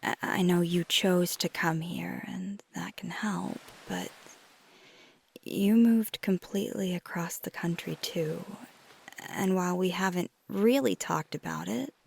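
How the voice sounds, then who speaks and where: A woman playing a flirty, tender vampire in an intimate ASMR roleplay, speaking softly and gently, mostly in a breathy whisper. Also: fairly low pitch, a little hiss or hum. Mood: gentle concern. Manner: slow, gentle, hesitant, teasing.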